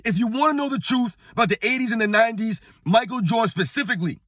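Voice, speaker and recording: A middle-aged man hosting his own sports channel, talking straight to the viewers in a hoarse, strained voice. He talks emphatically and keeps circling back to his point. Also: very high-pitched, fast, a bit bright, no room sound, clean studio sound. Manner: emphatic, repetitive, addresses viewers as guys. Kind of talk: casual talking-head rant. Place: on vacation at a beach.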